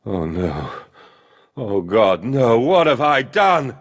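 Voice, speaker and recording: A tormented father in a video game, racked with guilt and full of defiance. His mid-pitched voice moves slowly and swings widely with despairing intensity. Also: big pitch swings. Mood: horrified remorse. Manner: dramatic, despairing, vehement. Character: anguished, guilt-ridden father defying a monster. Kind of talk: theatrical video game acting.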